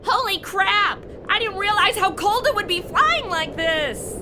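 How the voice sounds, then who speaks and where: A woman's voice: very high-pitched, animated, a little slow, noisy background. A woman playing a brash, sarcastic young sidekick who talks in a mouthy, informal way in a comedic superhero audio drama. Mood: shrill complaining.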